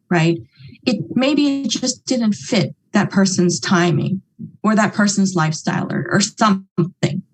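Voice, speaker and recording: A woman from Hawaii talks earnestly to her network-marketing audience, chatty and talkative, with many asides. Her voice sits in a middle pitch, and she often checks in with the listeners with little tags. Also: rough recording. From Hawaii, United States. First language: English. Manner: chatty, earnest, repeats points with "okay?" and "right?". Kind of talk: motivational talk.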